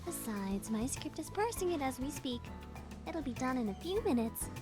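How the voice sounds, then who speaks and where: A woman voicing a confident, calm and slightly aloof young engineer in a visual novel game, speaking in a composed, matter-of-fact way. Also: sits high, normal speed, music in the background.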